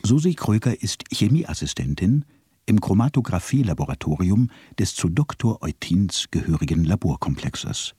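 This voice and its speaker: A man's voice: deep voice, big pitch swings, moderate pace, warm tone, husky, no room sound. A man narrating a radio drama in a dry, ironic way.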